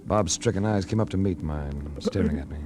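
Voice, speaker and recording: Man acting a deep-voiced, wry radio private eye. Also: natural voice. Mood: wry narrating. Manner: dry, wry, measured. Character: cool, wry male private investigator narrating his case. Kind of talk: 1940s radio detective drama acting. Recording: noisy vintage 1940s radio recording.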